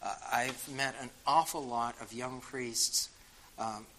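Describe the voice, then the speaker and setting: A man's voice: medium pitch, steady tone, takes it slowly, sharp tone, a little hiss or hum, poor audio. An older man with a Boston accent answering questions in a frank, wry way with many fillers. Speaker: guest speaker answering audience questions. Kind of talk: casual spoken reply.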